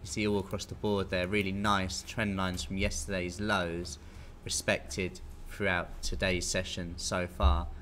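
A man's voice: low voice, little pitch movement, measured pace, few pauses, a bit bright. A British man in his thirties giving an informal, conversational live market briefing as a technical analyst. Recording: clear background noise.

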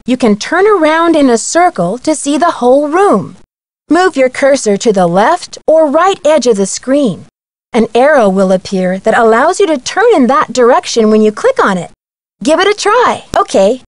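High, lively young detective heroine. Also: female voice, no room sound. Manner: friendly, clear instructional delivery. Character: young female amateur detective, chipper, helpful, confident. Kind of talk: scripted video game tutorial narration.

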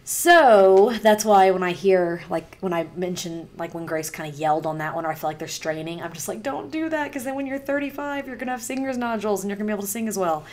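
A woman in her thirties with a raspy voice, chatting casually and rambling to camera about a song she is reacting to, recorded on a webcam in a small home room. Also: mid-range voice, lively intonation, quick pace, few pauses, a little mellow. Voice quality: raspy voice from singer's nodules. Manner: chatty and rambling, many fillers. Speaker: music reaction vlogger. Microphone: webcam, wearing headphones.

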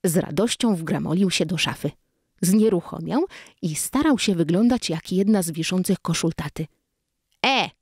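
Low-pitched woman reading a children's story. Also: lively intonation, moderate pace, a little mellow, slightly rough, dry recording, clean studio sound. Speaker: storybook narrator. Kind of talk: scripted children's story narration.

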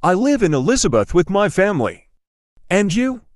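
A man's voice: high-pitched, big pitch swings, normal speed, dry recording, studio quality. A man reading a simple English dialogue for learners, carefully enunciated and repeating each line. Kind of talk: slow scripted dialogue reading.

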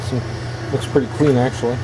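Male repair vlogger, casual and halting, thinking aloud; noisy handheld camera sound in a small workshop. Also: mid-range voice, flat delivery. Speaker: electronics repair hobbyist hosting a video. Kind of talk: casual hands-on repair commentary. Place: small indoor workshop at a workbench. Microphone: handheld camera's built-in microphone.

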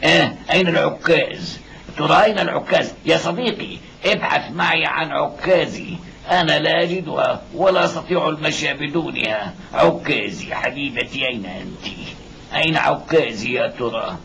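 Creaky, pitiful blind old father. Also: on the high side, normal speed, a bit bright, slight background noise, poor audio. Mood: plaintive searching. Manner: groaning, muttering exclamations. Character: poor blind old father, pitiful yet hopeful wanderer. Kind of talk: cartoon dub acting.

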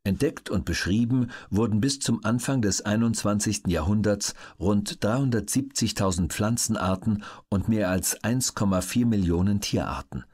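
A man reading scripted educational narration in a measured, matter-of-fact manner. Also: lowish voice, darkish tone, breathy edge. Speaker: audiobook narrator.

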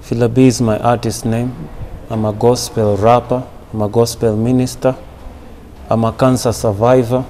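A man's voice: mid-range voice, a little hiss or hum. A young man introducing himself in a studio interview, listing short statements. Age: young adult. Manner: slow, flat, listing statements. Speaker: interview guest. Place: indoor studio.

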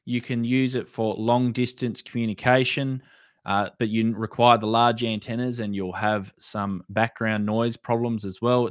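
A man's voice: mid-range voice, clear, resonant tone, no echo. Australian man presenting a dry-sounding tutorial. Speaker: presenter of an amateur radio channel. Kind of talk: explanatory tutorial narration over slides.

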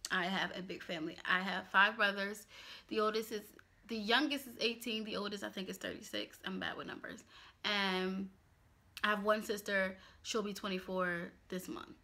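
A woman's voice: medium pitch, normal speed. A woman in her twenties from Pennsylvania, chatting candidly to camera in a casual vlog from a small home office. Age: 20s. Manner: chatty, candid, expressive hand gestures. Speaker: YouTuber.

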